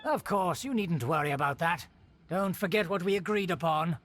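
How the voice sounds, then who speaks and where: A man's voice: high-pitched, animated, moderate pace, a bit bright, a little hiss or hum. An actor playing a haughty middle-aged nobleman in a video game, a scheming uncle with a pompous, formal, theatrical manner. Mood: smug, grandiose. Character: haughty aristocratic uncle scheming to restore his clan's rule.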